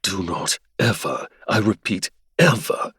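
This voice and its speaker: A man voicing a cold, menacing young nobleman who speaks low and quietly. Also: animated, moderate pace, breathy edge, no echo, studio quality.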